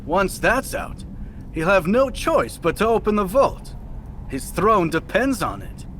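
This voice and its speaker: An actor playing a thoughtful fortune-teller in a historical Chinese game drama, with a measured, deliberate delivery. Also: male voice, high-pitched, sing-song, fairly bright tone, slight background noise, exaggerated voice. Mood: scheming. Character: thoughtful fortune-teller man in a heist crew.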